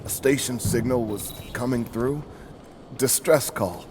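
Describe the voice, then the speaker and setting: An actor playing an injured, shaken and distrustful colony miner in a video game, talking in a halting, bitter way. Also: male voice, mid-range voice, noisy background, lightly acted. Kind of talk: video game cutscene acting.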